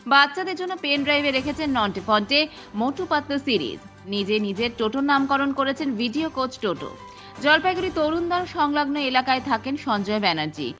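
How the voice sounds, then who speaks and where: A woman's voice: sits high, fairly bright tone. A woman with an Indian Bengali accent reading a scripted human-interest news report as a voice-over. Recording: muffled lo-fi voice-over over music. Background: background music.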